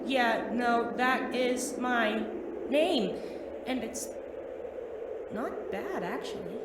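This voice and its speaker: Echoey and noisy, a woman acts a rookie superhero sidekick in an audio drama. The voice is high, halting and excitable, awkwardly improvising on a distant recorded clip. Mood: awkward, excited.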